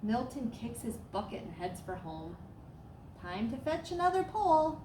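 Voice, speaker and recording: A woman reading a children's storybook aloud as a storyteller, with expressive, dramatic emphasis. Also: on the high side, moderate pace. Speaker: staff storyteller. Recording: roomy echo, clear background noise.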